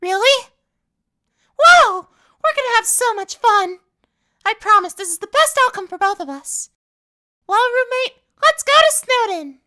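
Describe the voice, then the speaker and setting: Woman as sweet, protective bird friend, game dub: sky-high, bright, earnest and excitable. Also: measured pace, pauses often, exaggerated voice. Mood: overjoyed. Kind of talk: dramatic game fan dub.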